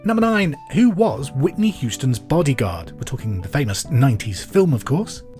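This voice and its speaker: A man presenting a podcast pub quiz in a scripted, clear and deliberate way. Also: medium pitch, sing-song, darkish tone, soft background music. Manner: repeats questions clearly and deliberately.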